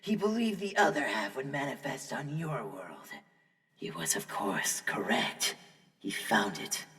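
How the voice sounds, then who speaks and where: A man's voice: medium pitch, big pitch swings, a little slow. A man voicing a fawning, sinister servant of an evil god, theatrical and reverent, in a comic book fan dub. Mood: fawning reverence. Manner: theatrical, reverent, swinging from hush to cry. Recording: long hall reverb, distant.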